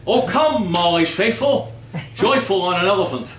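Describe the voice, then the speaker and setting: A man presenting informally and jokily to a live audience, halting and often repeating his words. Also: high voice, expressive, distant mic. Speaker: host of a live holiday readers theater. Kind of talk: informal live stage presentation with comic readings. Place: live before an audience in an echoey room. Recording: echoey, noisy hall recorded from afar.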